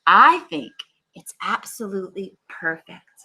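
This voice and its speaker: A woman voicing a kind, gently proud music teacher in a storybook, speaking in a deliberate, reassuring way. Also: medium pitch, very animated, gravelly, husky, heavily acted, rough recording.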